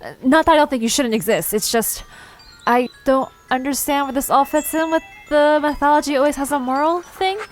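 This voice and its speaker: A woman's voice: high voice, a little fast, faint music behind, lightly acted, rough recording. A woman playing a nervous, curious young woman in an audio drama, flustered and stammering as she talks. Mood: puzzled, uneasy.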